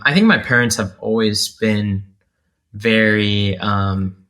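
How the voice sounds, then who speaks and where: Low, bright-voiced reflective man. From North Carolina, USA. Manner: reflective, many fillers and restarts. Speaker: guest, content creator. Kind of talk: casual interview talk.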